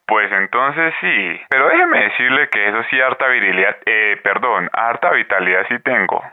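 A man's voice: lowish voice, lively intonation, normal speed. Man playing a cheeky middle-aged phone caller. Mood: cheeky, boastful. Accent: Colombian. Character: cheeky, virile-boasting middle-aged male radio caller. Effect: telephone filter. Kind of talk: satirical radio comedy acting. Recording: tinny phone-line sound.

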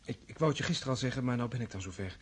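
A man's voice: mid-range voice, lively intonation, rapid-fire, husky. An actor playing a serious young nobleman who talks in a reserved, hesitant way and sometimes stammers.

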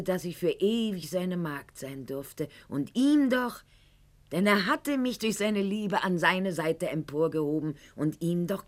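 Radio play role: haughty, self-dramatising noblewoman of the classical age, lowish voice, very measured pace, mannered theatrical diction. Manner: mannered, articulate, declamatory, swinging to hushed confiding tones. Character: proud, haughty aristocratic lady of Goethe's era, sentimental and self-dramatising.

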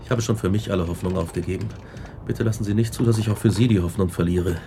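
A male actor portrays an exhausted, haunted man weighed down by guilt and despair. The voice is low, grave and anguished, in radio drama style. Clear ambient noise runs behind it. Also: fairly even intonation, quick pace, lightly acted.

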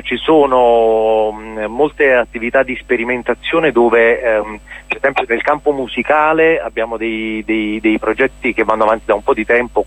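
A man's voice: medium pitch, fairly bright tone, poor audio. A man explaining hesitantly in a radio interview, repeating words as he searches for the next. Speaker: interviewee, member of a cultural centre.

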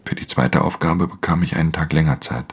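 A man acting in a German radio play as a sullen, guarded thief who also narrates, terse and deadpan in a gravelly, husky voice. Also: very deep voice, brisk, slight background noise. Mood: detached, guarded.

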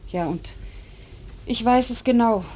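An actress playing a grieving, earnest grown daughter in a German radio play, with a husky voice and halting, emotional delivery. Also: female voice, a little high, dark tone, slight background noise, close to an everyday voice, low-quality recording. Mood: regretful, earnest. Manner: halting, emotional, repeating words.

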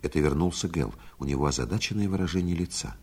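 A man's voice: low-pitched, fast talker, a little mellow, breathy edge. A man narrating a radio play from a script.

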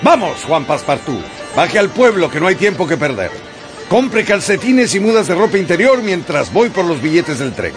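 Brisk, high-voiced gentleman traveler. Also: very animated. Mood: pleased, commanding. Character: brisk, punctual English gentleman traveler giving orders. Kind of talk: radio drama acting. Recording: music behind the voice.

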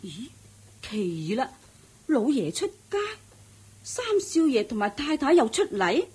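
An actress playing a fussy, superstitious middle-aged maid in a Cantonese radio drama, scolding and easily flustered. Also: female voice, a little high, sing-song, slow, a little mellow, breathy edge, heavily acted.

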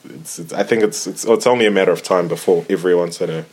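A man's voice: low-pitched, quick pace, darkish tone, slightly rough. A man in his twenties from Zimbabwe, co-hosting a casual podcast, talking with lots of fillers and restarts. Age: 20s. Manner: hesitant, many fillers and restarts. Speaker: podcast co-host.

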